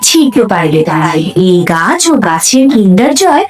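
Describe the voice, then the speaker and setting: A woman's voice: fairly low pitch, big pitch swings, normal speed. A woman voicing a stern magical guardian spirit in a children's fairy tale cartoon, admonishing and protective. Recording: light music behind.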